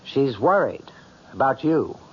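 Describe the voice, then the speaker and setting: A wry, skeptical friend in an old radio drama. His husky voice moves expressively as he gently needles. The recording is a little dull. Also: mid-range voice, sing-song, measured pace, full character voice. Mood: wry, needling.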